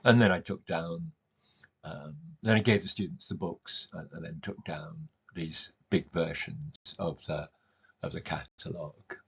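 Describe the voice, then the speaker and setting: A man's voice: low voice, fairly even intonation, unhurried, gravelly, slightly rough, highs cut off. An older man, an English teacher, giving an informal recorded slide talk in a hesitant manner, often repeating words as he explains.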